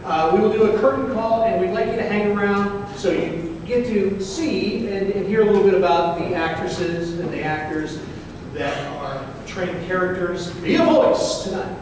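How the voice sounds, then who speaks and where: A man's voice: high voice, expressive, normal speed. A man introducing a stage show to an audience as its presenter, informally and with jokey asides. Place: museum venue in an old courthouse, before live audience. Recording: very echoey hall, distant mic, faint noise.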